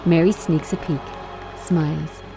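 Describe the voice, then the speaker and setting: A woman reading screenplay directions as scripted narration, in measured, short phrases. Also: low-pitched, fairly even intonation, creaky, over music, crowd noise, rough recording. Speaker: screenplay reader.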